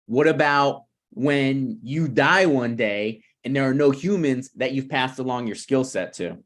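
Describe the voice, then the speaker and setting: A man's voice: mid-range voice, a little slow, natural voice. A man in his thirties at a script table read, playing a needy, prickly struggling screenwriter who talks in a pressing, conversational way. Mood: bitter. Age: 30s.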